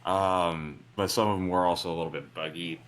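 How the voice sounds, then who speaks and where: A man with a booming voice co-hosting a casual gaming podcast over a remote call, explaining things at length. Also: fairly even intonation, a little fast, fairly bright tone. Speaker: podcast co-host. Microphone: better home microphone over a remote call.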